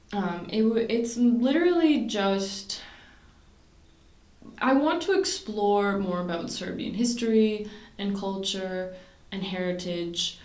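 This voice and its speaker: A woman's voice: mid-range voice, darkish tone. A young woman from Canada talking casually to camera about her projects, chatty and rambling with many fillers and restarts. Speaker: vlogger. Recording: roomy sound, mic at a distance.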